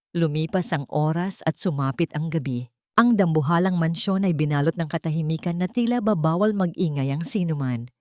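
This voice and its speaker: A woman narrating a scripted love story in a measured, solemn, dramatic manner. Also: deep voice, a little mellow, no room sound, studio quality.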